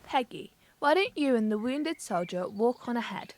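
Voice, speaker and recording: A woman playing a caring, sensible young English wartime worker in an audio drama, gentle and reassuring. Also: fairly high pitch, lively intonation, moderate pace, lightly acted. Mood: brisk.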